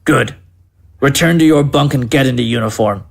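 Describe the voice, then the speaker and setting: An actor playing a menacing military captain, clipped and commanding, with cold cruel amusement, in an audio drama. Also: male voice, mid-range voice, moderate pace, creaky, close to an everyday voice.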